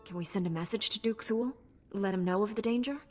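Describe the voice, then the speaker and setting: Game heroine: actress as young Jedi knight, mid-pitched, earnest, resolute. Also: female voice, fast talker, a little mellow, soft background music, close to an everyday voice. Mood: concerned. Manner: earnest, direct.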